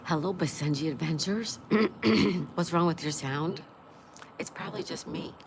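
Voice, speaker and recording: A woman with a lowish voice and a congested, stuffed-up nose chats casually on a livestream. She greets viewers by name and answers their comments as they come in. Loud background noise runs under the whole recording. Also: measured pace. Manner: greets viewers by name and reads chat aloud. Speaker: livestream host. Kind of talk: casual live chat with viewers.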